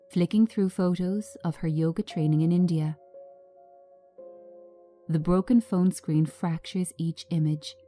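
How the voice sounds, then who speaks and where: A woman giving a scripted narration of screenplay directions in a measured, understated manner. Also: on the low side, warm tone.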